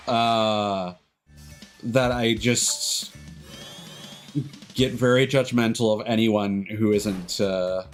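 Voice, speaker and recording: Man streaming games over loud music. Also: mid-range voice. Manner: opinionated, sweary, critical. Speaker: livestreamer. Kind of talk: casual rambling game stream commentary. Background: game music.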